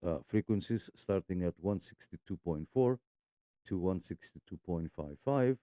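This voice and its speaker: Low, mellow-voiced man talking radios. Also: no room sound, studio quality. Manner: chatty, rambling with many fillers. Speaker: radio hobby channel host. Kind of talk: casual show-and-tell.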